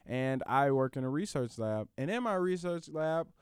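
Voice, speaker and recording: A man's voice: on the high side, expressive, normal speed, clean tone. A man in his twenties hosting a casual podcast, asking questions in loose, informal phrasing. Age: 20s. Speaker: podcast host.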